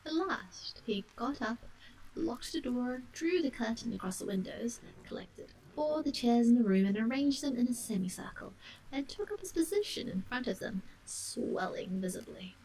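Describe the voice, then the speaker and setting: A woman reading a bedtime story in ASMR style, soft and breathy with whispery dips. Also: on the high side, sing-song, normal speed, recorded from a distance, slight background noise, low-quality recording. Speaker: storyteller, live-stream reader.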